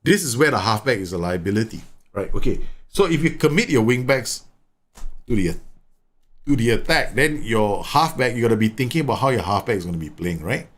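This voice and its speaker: A man's voice: mid-range voice, lively intonation, brisk. A man from Singapore, chatting and explaining tactics while live streaming a game, often repeating words. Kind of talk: live gameplay commentary and chat.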